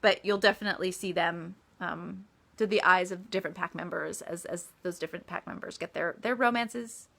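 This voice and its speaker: A woman's voice: on the low side, brisk, vocal fry, fairly bright tone. A woman author answering reader questions in a casual, chatty livestream style with emphatic asides.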